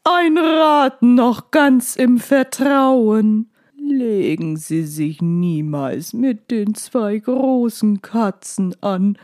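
A woman voicing an eerie, formal hotel porter in an audio play, speaking in a drawn-out, ceremonious way. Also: on the high side, darkish tone, no echo, clean studio sound. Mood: ominous warning. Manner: slow, drawn-out, ceremonious delivery.

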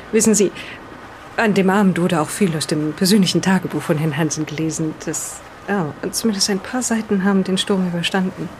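A woman playing a polite, careful reader in an improvised audio play, speaking in a measured and slightly halting way. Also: fairly low pitch, a little hiss or hum, lightly acted. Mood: polite, doubtful. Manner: polite, measured, halting over unfamiliar words. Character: polite, careful woman reading an explorer's old diary. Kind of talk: improvised in-character audio play with diary reading.

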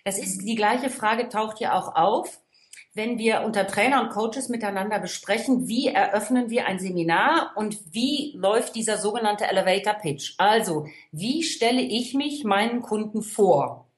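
A woman's voice: mid-range voice, normal speed. A woman from the Rhineland, a trainer and coach, explaining her views emphatically and checking in with the listener. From Rhineland, Germany. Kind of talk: conversational expert talk. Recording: echoey room.

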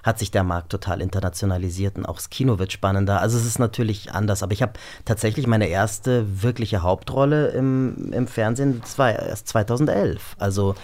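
Low-voiced man in casual interview. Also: brisk, hardly any pauses, darkish tone. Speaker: actor, interview guest.

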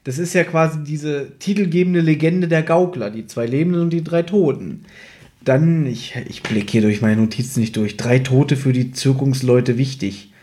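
A man's voice: mid-range voice, moderate pace, mellow. A man co-hosting a podcast, chatting casually and conversationally as he recaps an audio drama from his notes. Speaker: podcast co-host.

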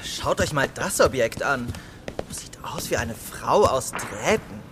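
An actor playing a curious, naive young companion with an eager, simple manner in a children's audio drama. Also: male voice, medium pitch, very animated, takes it slowly, noisy background. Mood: hushed.

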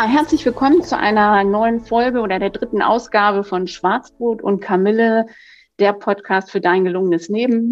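A woman's voice: mid-range voice, dry recording. Woman host chatting loose on a podcast, keeps fixing her sentences, muffled dry sound. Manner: loose, self-correcting, chatty. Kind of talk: podcast interview.

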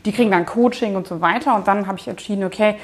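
Female, thirties, mid-pitched; casual interviewee who hedges and thinks out loud. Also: fast talker. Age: 30s. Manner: informal, hedging, thinking aloud. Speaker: company founder and managing director, interview guest. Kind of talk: casual interview answers, looking back.

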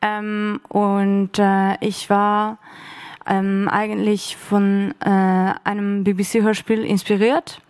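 A woman who took part in a workshop, answering on a panel in a hesitant way with many pauses and fillers. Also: medium pitch, flat delivery, a little mellow, no echo, studio quality. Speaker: workshop participant.

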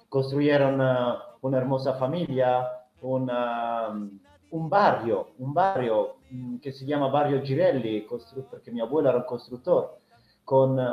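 A middle-aged man speaks Spanish with an Italian accent, his first language being Italian. He tells his story slowly and thoughtfully, pausing often on filler sounds. The recording sounds roomy and a little muffled, at an even, average pitch. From Italy. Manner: hesitant, reflective, many filler sounds. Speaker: guest. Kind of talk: personal storytelling in an interview.

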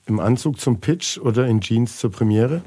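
A male podcast host with a dark, relaxed voice at an average pitch. He puts brief quick-fire questions to his guest and keeps his own part concise and attentive. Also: breathy edge.

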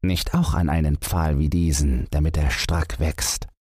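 A man's voice: very deep voice, fairly even intonation, unhurried, a little mellow, slightly rough, no room sound, studio quality, heavily acted. A man voicing a clever grown son who has become a proud master thief. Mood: probing.

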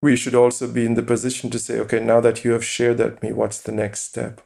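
A man as a podcast guest, calmly explaining his view with examples and rhetorical questions. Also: medium pitch, fast talker, vocal fry. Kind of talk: interview conversation.

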